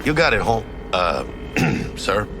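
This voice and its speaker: A man's voice: on the low side, sing-song, takes it slowly, noisy background. An actor playing a brash, easygoing male street mercenary in a video game, talking in casual, clipped slang. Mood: calm, cocky. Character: brash, easygoing, burly street mercenary man posing as bodyguard. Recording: music behind the voice.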